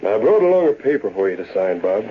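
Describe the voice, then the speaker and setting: An actor playing a greedy, scheming Western miner, boastful and folksy, with an American frontier drawl in old radio-western style. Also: male voice, on the low side, very animated, quick pace. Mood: sly, persuasive. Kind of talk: 1930s-40s radio western acting. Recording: tinny, hissy old-time radio recording.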